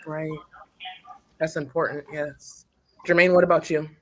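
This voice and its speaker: A woman's voice: low voice, flat delivery, measured pace, a bit bright. A woman moderating a panel in a conversational way, often checking in with "right?". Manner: seeks agreement with frequent "right?".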